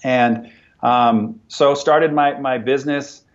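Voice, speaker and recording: A man in his forties, a business owner talking as an interview guest in an energetic, motivational way, often repeating words as he starts sentences. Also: medium pitch, slow, muffled recording. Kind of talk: conversational interview.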